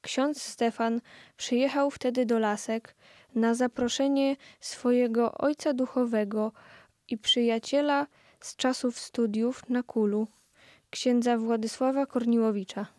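A woman's voice: fairly high pitch, fairly even intonation, measured pace, darkish tone, dry recording, studio quality. A woman giving scripted storytelling narration in a radio play.

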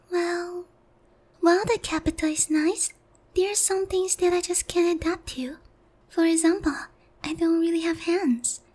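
A woman's voice: very high voice, darkish tone. A woman voicing a naive, sheltered young harpy in an ASMR roleplay, speaking politely and hesitantly to one listener. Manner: timid, hesitant, polite, airheaded.

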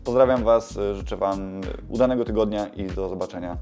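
A man with a lowish voice talks casually to his viewers as a video creator. He explains things informally and rephrases himself along the way. Soft music plays faintly behind him. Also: fairly quick. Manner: informal, self-correcting, explanatory. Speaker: YouTube creator and host. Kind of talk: casual vlog update to camera.

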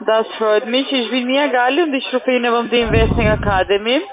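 A woman's voice: on the high side, fairly even intonation, brisk, crowd noise. A woman, a non-native speaker, making a call-centre sales call over a thin, tinny phone line. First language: not German.

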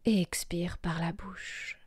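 Female meditation guide. Half-whispered, breathy and soothing, very unhurried, close and dry sound. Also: fairly low pitch, fairly even intonation, no echo. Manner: slow, soothing, hushed delivery with long pauses. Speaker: yoga nidra guide.

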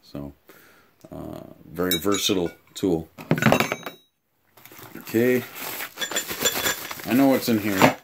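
A man in his thirties giving a casual, offhand unboxing and review, explaining things haltingly in a room at home. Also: deep voice, expressive, creaky, heavy background noise. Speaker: tool reviewer and vlogger. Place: small home room, indoors.

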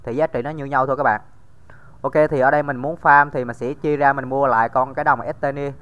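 Guy doing a casual screen tutorial, smooth voice, kinda dull lo-fi sound. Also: mid-range voice, steady tone, fast, a bit bright. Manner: casual, many fillers, addresses viewers directly. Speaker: crypto tutorial host. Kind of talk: screen-recorded tutorial walkthrough.

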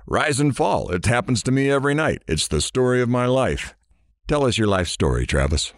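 A man's voice: mid-range voice, very animated, normal speed, no room sound, clean studio sound. An actor playing a brash, sarcastic morning radio DJ with irreverent showman banter in an audio drama. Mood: teasing. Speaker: radio DJ host.

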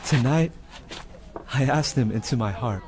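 An actor playing an earnest Chinese seeker of truth in an audio drama, solemn and heartfelt. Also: male voice, medium pitch, lively intonation, unhurried, close to an everyday voice. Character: earnest Chinese man, seeker turned joyful new believer. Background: music.

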